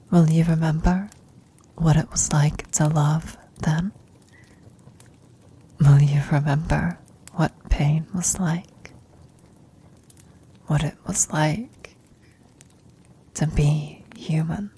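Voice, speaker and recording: A woman acting a frail, dying old adventurer in an intimate ASMR roleplay, speaking tenderly and haltingly in a breathy, husky whisper. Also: low-pitched, mellow, full character voice. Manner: halting, tender, reflective, long pauses.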